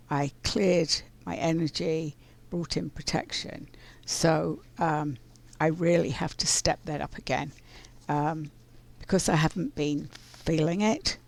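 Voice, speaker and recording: Woman host, scratchy and very gravelly, slow and reflective, for a casual card reading. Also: sits low. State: scratchy voice from pollen. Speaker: spiritual card reader.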